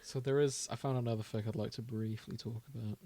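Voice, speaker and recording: A man's voice: mid-range voice, fairly even intonation, quick pace, creaky, dark tone. A British man co-hosting a podcast, chatting casually about fan fiction. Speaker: podcast co-host.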